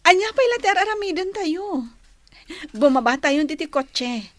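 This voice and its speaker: High-voiced polite young heiress. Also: female voice, lively intonation, measured pace, fairly bright tone, no room sound, exaggerated voice. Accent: Northern Philippine. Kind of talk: Ilocano radio drama acting.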